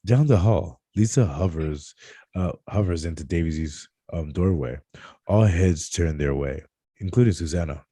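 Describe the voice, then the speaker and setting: Male narrator for a script read: very low, soft-edged, dry recording, slightly stumbling delivery. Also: a little slow, husky, dry recording. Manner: stumbles over words and corrects himself. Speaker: reader of stage directions at a script table read.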